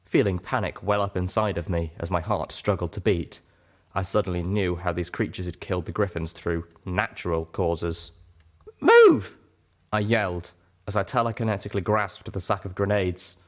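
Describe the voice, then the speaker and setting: A man reading scripted fiction aloud as a dramatic audiobook narrator. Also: sits low.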